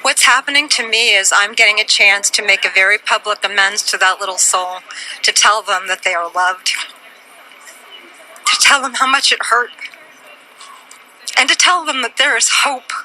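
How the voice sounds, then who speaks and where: Woman in her 50s, sharp voice, slow emphatic phrases giving testimony outside, slight noise. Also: medium pitch, poor audio. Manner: deliberate, emphatic short phrases. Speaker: protester and interviewee. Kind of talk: personal testimony. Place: outdoors on a city street. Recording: faint street noise behind.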